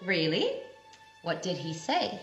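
A woman's voice: lowish voice, big pitch swings, takes it slowly, a bit bright, soft background music, full character voice. A woman in her twenties playing a sweet, dreamy, oblivious pantomime heroine, recorded in a roomy space over a video call. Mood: eager. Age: 20s.

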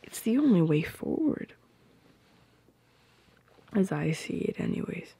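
A woman voicing a tender, playful, possessive girlfriend in an ASMR roleplay, speaking softly and intimately close to the microphone in a breathy, often whispered voice. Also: fairly low pitch, big pitch swings, no room sound, full character voice. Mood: soothing, tender. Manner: soft, intimate, unhurried, teasing. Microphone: close microphone, dry.

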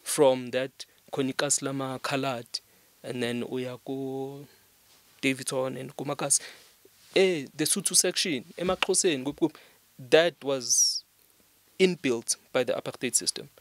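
A man's voice: medium pitch, a little slow. A young man with a South African accent, explaining things earnestly in a podcast conversation and repeating words to drive a point home. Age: young adult. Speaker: podcast guest. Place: small indoor podcast studio. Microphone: microphone on a stand.

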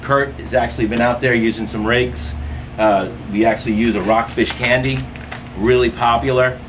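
A man's voice: medium pitch, steady tone, normal speed, background noise. A middle-aged man giving an upbeat tackle shop fishing report from inside his store.

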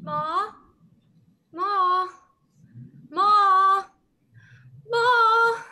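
Shrill bleating buffalo calf. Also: female voice, extremely slow, bright, forceful tone, heavily acted. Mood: plaintive calling. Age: young adult. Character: lost water buffalo calf bleating for its mother. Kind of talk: dramatic play reading.

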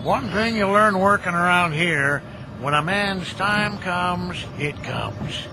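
High-voiced, folksy old caretaker. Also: male voice, lively intonation, takes it slowly, noisy background, exaggerated voice. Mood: easygoing. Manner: folksy, chatty, joking. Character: cheerful, folksy older cemetery caretaker, chatty and kindly. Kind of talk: audio drama acting.

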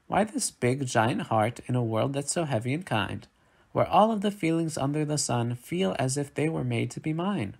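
A man's voice: medium pitch, animated, moderate pace, vocal fry, a little mellow, husky. A man voicing a sensitive young storybook boy with big feelings, in an earnest, wondering, emphatic way. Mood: troubled wondering.

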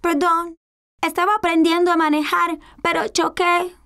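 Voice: extremely high pitch, level tone, moderate pace, pushed and bright, heavily acted. A performer voicing a curious, cheerful four-year-old boy in a children's cartoon, eager and wide-eyed. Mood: apologetic.

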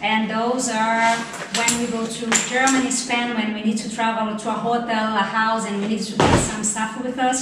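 A woman's voice: fairly high pitch, level tone, moderate pace, hardly any pauses. Woman in an echoey room. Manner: chatty, with non-native phrasing. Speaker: vlogger. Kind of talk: casual gear walkthrough. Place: large tiled room indoors. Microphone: camera mic from a few meters off. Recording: echoey room, distant camera mic, noisy.

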